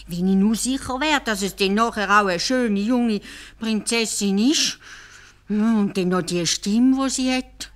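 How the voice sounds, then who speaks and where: A woman's voice: medium pitch, a little slow, voice pushed up, natural voice. A woman voicing a caliph from a fairy tale, reluctant and exclaiming, in Swiss German. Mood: reluctant, resigned. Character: reluctant, grumbling caliph turned stork.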